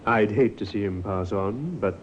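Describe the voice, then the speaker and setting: A man playing a courteous, earnest young Edwardian clergyman in a 1950s radio drama, polite and expressive. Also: fairly low pitch, moderate pace, close to an everyday voice. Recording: muffled, hissy old radio recording.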